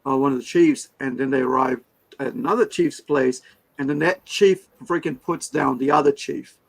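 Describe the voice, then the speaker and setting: Gravelly-voiced man, rambling host. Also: medium pitch, normal speed. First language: Hindi. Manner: rambling, many fillers and restarts. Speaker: podcast host. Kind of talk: casual interview chat.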